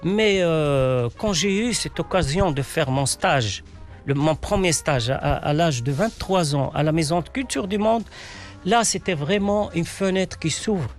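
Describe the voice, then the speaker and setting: Hesitant male playwright, Arabic native speaker, slightly high voice, soft music under him. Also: expressive, measured pace. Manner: hesitant, many fillers and restarts. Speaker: playwright guest. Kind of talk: casual interview answers.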